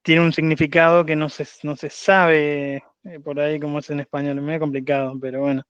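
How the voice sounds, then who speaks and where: A man's voice: fairly high pitch, level tone, a little fast, a bit bright, clear, resonant tone, no room sound. Hesitant man, dull dry recording. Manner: hesitant, searches for words. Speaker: interviewer. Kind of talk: casual conversation.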